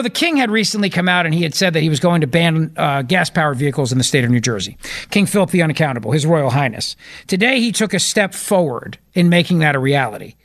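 A man with a higher-than-usual voice hosts a political talk radio show. He delivers his commentary with emphatic indignation and biting sarcasm. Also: a bit bright.

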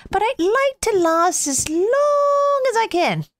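A woman doing an eager high-pitched snowman. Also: sing-song, slow, no echo, studio quality, heavily acted. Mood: pleading. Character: cheerful hurried male snowman. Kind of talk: storybook reading.

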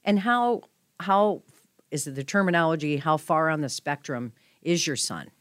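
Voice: mid-range voice, normal speed, fairly bright tone, no room sound. Talk-show host, encouraging questions, dry close sound. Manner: encouraging, affirming. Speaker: host, interviewer. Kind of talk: interview hosting.

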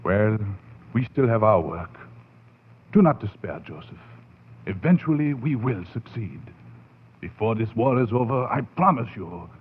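An actor playing an obsessed scientist bent on raising the dead, theatrical and brooding with fervent swells, in 1940s radio horror style. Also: male voice, on the low side, animated, unhurried, breathy edge. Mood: resolute. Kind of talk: 1940s radio horror acting, dramatic first-person narration. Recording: noisy, muffled phone-like 1940s radio recording.

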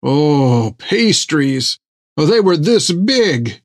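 A man voicing an excitable, food-loving male sailor who talks in a folksy, rambling way. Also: a little high, extremely slow, no echo, studio quality, pitched above the performer's own voice, heavily acted. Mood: gushing. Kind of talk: audiobook character voice.

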